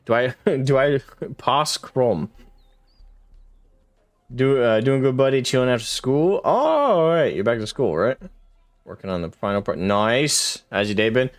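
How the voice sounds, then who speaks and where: A man's voice: medium pitch, expressive, measured pace, dry recording. Rambling young male game streamer. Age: 20s. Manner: rambling, self-deprecating, laughs often. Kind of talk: casual live-stream chat, reading chat.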